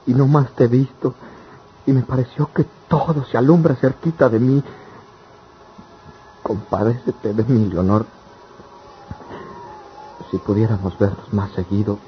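A man's voice: medium pitch, fairly even intonation, unhurried, long pauses, close to an everyday voice. An actor playing a poor, lovelorn and anguished young country man in a radionovela, speaking plaintively in rustic speech. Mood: pleading. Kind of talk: radionovela acting. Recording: dull, noisy old radio drama recording.

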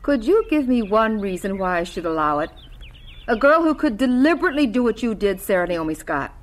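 A woman's voice: medium pitch, big pitch swings, fairly quick, lightly acted. Woman as a stern, unforgiving protective woman. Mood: cold, stern. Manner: cold, clipped, firm. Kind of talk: radio drama acting.

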